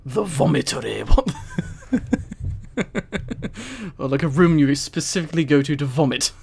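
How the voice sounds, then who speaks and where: A man's voice: a little high, normal speed, vocal fry, a little mellow, husky. A man on a headset mic, reading aloud on a casual livestream with rambling, amused asides. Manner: amused, giggly, rambling commentary. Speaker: streamer reading a book aloud to live chat.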